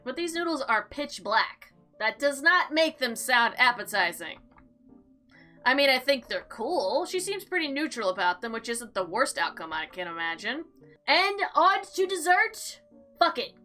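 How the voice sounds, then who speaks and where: A woman hosting a let's play, reading game text aloud with expressive, slangy commentary and sarcastic asides. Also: on the high side. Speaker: gaming video host.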